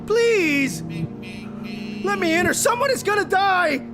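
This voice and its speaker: A frantic ventriloquist in an audio horror drama, with a high, swooping voice. The delivery is paranoid and pleading, repeating itself breathlessly over prominent music. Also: female voice, animated, slow pace, exaggerated voice. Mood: panicked, frantic. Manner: pleading, accusing, breathless repetition. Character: frantic, paranoid rival ventriloquist fearing a living dummy. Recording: music behind the voice.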